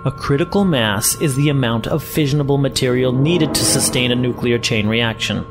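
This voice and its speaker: A man's voice: medium pitch, moderate pace, natural voice. A man playing a composed, defensive professor in a science fiction audio drama, speaking formally and explaining things articulately. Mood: grave. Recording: loud music behind the voice.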